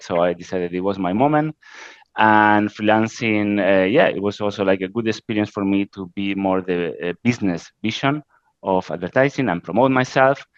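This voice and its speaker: Spanish-accented man, halting and low. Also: dry recording, dull audio. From Spain. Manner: halting, frequent "uh" fillers, non-native grammar. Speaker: interview guest, creative director. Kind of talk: casual interview answers.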